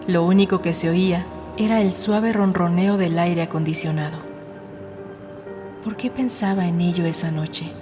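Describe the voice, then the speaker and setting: Woman, audiobook narrator, lowish pitch, scripted dramatic storytelling, measured and suspenseful, clear music bed. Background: background music.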